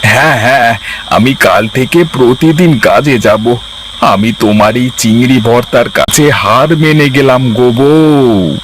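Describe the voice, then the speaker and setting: A man's voice: mid-range voice, unhurried, slight background noise, close to an everyday voice, rough recording. A man voicing a lazy, grumbling village husband in a cartoon moral tale, with a whiny, hesitant drawl. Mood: defeated, whiny.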